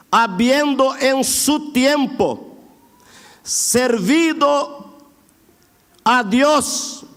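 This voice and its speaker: A man preaches in a strikingly high voice that rings through a reverberant hall. His pace is slow, with words stretched out for emphatic exhortation. His Argentine border Spanish carries a clear Brazilian accent. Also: fairly bright tone. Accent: Brazilian-influenced border Argentine Spanish. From border area between Argentina and Brazil. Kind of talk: Pentecostal sermon. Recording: echoey hall with long reverb.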